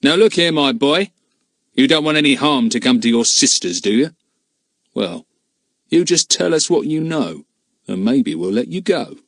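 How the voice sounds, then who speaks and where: A man voicing a menacing one-eyed male villain who talks roughly and mockingly, read in a storybook. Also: mid-range voice, lively intonation, normal speed, pauses often, fairly bright tone, no room sound, voice pushed up. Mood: threatening. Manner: rough, jeering. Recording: dry close mic.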